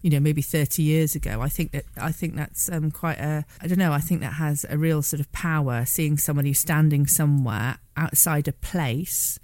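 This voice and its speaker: A woman's voice: low-pitched, moderate pace, hardly any pauses, a little mellow, no echo. Female guest author, hesitant casual speech, dry close recording. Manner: hesitant, lots of ums and sort-ofs. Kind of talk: casual interview.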